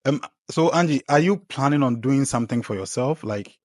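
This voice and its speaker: A man hosting a casual podcast, relaxed, trailing off quietly and filling with okays and ums. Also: medium pitch, brisk, no room sound, studio quality. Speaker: podcast host.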